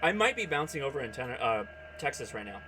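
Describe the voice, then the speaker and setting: A man hosting a hobby livestream, chatting casually and reacting aloud in an excitable way, often addressing people by name. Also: mid-range voice, fast, noisy background. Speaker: host of a ham radio livestream. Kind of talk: casual livestream chat and running commentary while operating radio.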